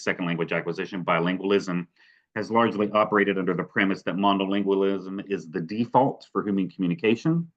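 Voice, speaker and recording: A man's voice: deep voice, dull audio. A man from West Virginia giving an academic webinar talk, hedging often with fillers like "sort of" and "kind of" and restarting his sentences. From West Virginia, USA. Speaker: presenter.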